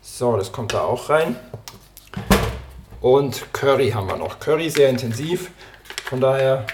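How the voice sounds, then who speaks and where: A man with a slightly hoarse voice from a cold, hosting a cooking podcast and chatting casually as he prepares a recipe in his home kitchen. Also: mid-range voice, unhurried, background noise. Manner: relaxed, talks while chopping and cooking. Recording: kitchen clatter and clicks behind. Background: dishes, pots and pans clattering.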